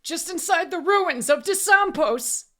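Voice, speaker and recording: A woman voicing a short, frightened, tearful man who pleads in halting gasps, in an audiobook. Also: on the high side, expressive, pitched above the performer's own voice. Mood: tearful, gasping. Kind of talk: audiobook character voice.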